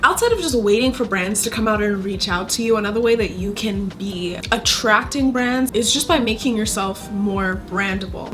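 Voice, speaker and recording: A woman's voice: mid-range voice, a bit bright. Chatty young woman giving advice. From Zimbabwe. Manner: chatty, emphatic, uses lots of fillers. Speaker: content creator. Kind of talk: casual advice vlog. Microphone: phone camera.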